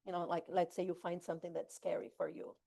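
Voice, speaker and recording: A woman's voice: on the low side, little pitch movement, quick pace, vocal fry, warm tone, dry recording. A woman on a real estate panel who flips houses and speaks candidly and emphatically. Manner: candid, emphatic, community-minded. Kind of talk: seminar panel talk.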